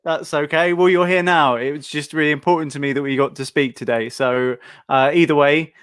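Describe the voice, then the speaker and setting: A man's voice: on the high side, fairly even intonation, fairly quick, a bit bright, smooth, no echo, clean studio sound. A young man hosting a casual video-call interview, enthusiastic and chatty, with many fillers and restarts. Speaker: host.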